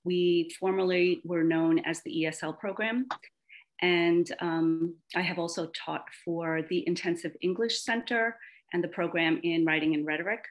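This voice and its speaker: Woman lecturer panelist, lowish voice, orderly delivery. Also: fairly even intonation, moderate pace. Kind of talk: casual panel talk on video call. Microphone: video call.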